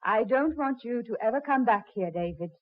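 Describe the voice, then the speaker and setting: A woman's voice: fairly high pitch, animated, normal speed, lightly acted. An American actress playing a firm, guarded married woman in a 1940s radio melodrama. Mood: tense refusal. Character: firm, guarded married woman rebuffing suitor, devoted to husband. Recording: muffled old 1940s radio recording.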